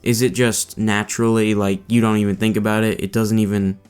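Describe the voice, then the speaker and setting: A man's voice: lowish voice, little pitch movement, fairly quick, darkish tone, smooth, soft background music. A man hosting a casual podcast chat, musing with curiosity about ideas. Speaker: podcast host.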